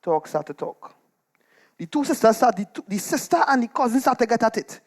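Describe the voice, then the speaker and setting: A Trinidadian man performs a comic monologue live on stage. His delivery is animated and dramatic, slipping into dialect as he shares scandal like a neighbourhood gossip. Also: very high-pitched, fairly quick. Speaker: storytelling performer.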